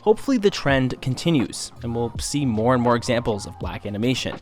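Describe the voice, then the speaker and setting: A man reading scripted documentary narration in a measured, formal, informative manner. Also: medium pitch, big pitch swings. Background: faint music.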